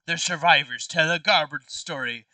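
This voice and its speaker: Forceful bright-voiced man, slightly off-mic. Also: on the high side, moderate pace, dry recording, recorded from a distance, low-quality recording. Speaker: player voicing characters in a party game. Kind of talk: casual tabletop play with in-character line readings.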